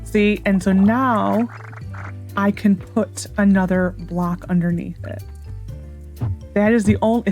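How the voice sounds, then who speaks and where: A young woman with a hoarse, sore voice, rambling through casual gameplay commentary with frustrated sarcasm. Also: medium pitch, warm tone. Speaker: gaming YouTuber.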